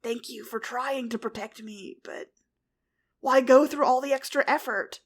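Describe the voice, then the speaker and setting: A woman voicing an anguished young male superhero who fell into destruction, often speaking in a hushed whisper. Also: a little high, measured pace, slightly rough. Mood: gentle.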